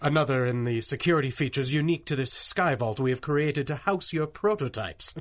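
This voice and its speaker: An actor playing a formal, obsequious bank manager in a 1930s-style radio drama, eager to please and fussy. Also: male voice, medium pitch, a little fast, natural voice. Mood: obliging.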